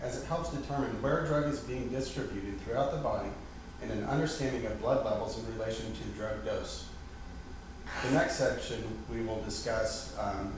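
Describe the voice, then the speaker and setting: A man reading out a scripted educational presentation in a formal, instructional manner, as the one leading the lesson. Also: mid-range voice. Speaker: lesson presenter quizzing a partner. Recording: very echoey, distant mic, noisy background.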